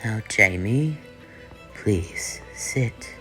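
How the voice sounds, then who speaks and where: A man doing a stern, hushed old ruler. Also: lowish voice, animated, extremely slow, faint music behind, full character voice. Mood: soothing. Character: stern older male ruler, cold but soothing.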